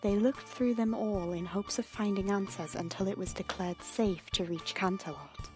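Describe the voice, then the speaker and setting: A woman storyteller reading scripted fiction dramatically, with suspenseful, breathy phrase endings. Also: medium pitch, moderate pace, loud music behind the voice.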